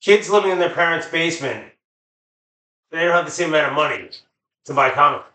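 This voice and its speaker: A man with a high voice trades casual jokes with friends. The tone is bright and forward, and the living room adds clear echo around his words. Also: animated, fairly quick. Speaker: comedy show co-host. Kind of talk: casual joking banter among friends.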